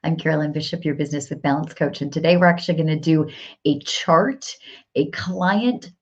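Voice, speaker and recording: A woman business coach hosting a live coaching stream, her voice hoarse from cheering, upbeat and repeating phrases as she talks straight to viewers. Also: deep voice, moderate pace. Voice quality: gravelly. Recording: dull lo-fi livestream audio.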